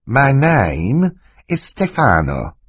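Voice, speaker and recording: Man playing a sly villain with slow, fluttery speech. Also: wildly swooping pitch, no room sound, full character voice. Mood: feigned sorrow. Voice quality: high, fluttery, breathy when feigning. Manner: slow, drawn-out, theatrically distressed. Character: sly villain feigning a fluttery, sorrowful assistant.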